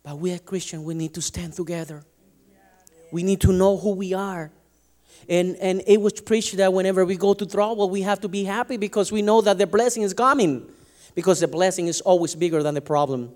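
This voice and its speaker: A man's voice: sits high, fairly even intonation, brisk, darkish tone. A man from Latin America preaching a sermon, emphatic and often restarting his sentences. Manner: emphatic, restarts and stumbles over words.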